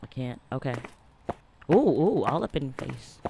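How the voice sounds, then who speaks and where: A young adult gaming streamer with a partly lost voice, chatting casually and reading game text aloud into a close boom mic in a small home room. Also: sing-song, moderate pace, vocal fry, dark tone, a little hiss or hum, rough recording. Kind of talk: casual let's-play commentary. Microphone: boom mic on arm, close.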